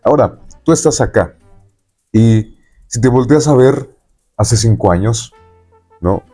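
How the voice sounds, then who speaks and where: A man's voice: mid-range voice, lively intonation, slow, dark tone, faint music behind. A man with a Mexican accent hosting a conversational interview, talking in a rambling way and often checking in with tag questions. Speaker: podcast host interviewing a guest.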